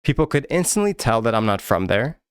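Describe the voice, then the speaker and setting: Casting: American male host, smooth clear voice, dry close-mic sound, earnest and candid commentary. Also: mid-range voice, very animated, a little fast, dry recording. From United States. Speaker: YouTube channel host. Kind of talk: personal commentary to camera.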